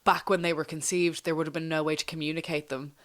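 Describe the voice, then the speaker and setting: Show host, mid-pitched voice, encouraging, quick affirmations, curious. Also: level tone, a bit bright. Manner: encouraging, frequent short affirmations. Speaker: podcast host. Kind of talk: casual interview chat.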